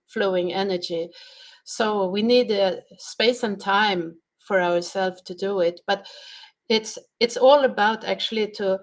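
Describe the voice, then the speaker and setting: Brief: woman sound healer, interview guest, unhurried delivery, halting speech. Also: medium pitch. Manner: slow, halting, searching for words. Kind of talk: conversational interview answers.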